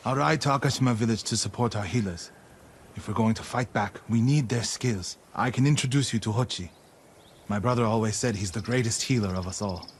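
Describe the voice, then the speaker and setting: A man playing an earnest ally in a video game, speaking in a deliberate way. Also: fairly low pitch, slight background noise, close to an everyday voice. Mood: earnest, determined. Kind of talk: video game cutscene acting.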